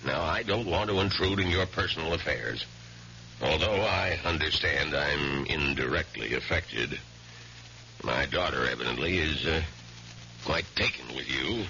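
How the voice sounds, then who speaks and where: An actor playing an older, pressing law firm boss in a seventies radio drama. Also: male voice, extremely low pitch, animated, unhurried, vocal fry, sharp tone, heavily acted. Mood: measured, pressing. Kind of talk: 1970s radio drama acting. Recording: dull, noisy old radio recording.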